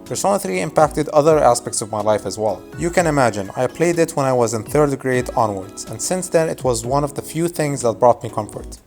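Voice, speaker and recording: Young man, arabic first language, casual wry narration, soft music behind. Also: mid-range voice, lively intonation, brisk, hardly any pauses. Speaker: YouTube narrator. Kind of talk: scripted video essay narration.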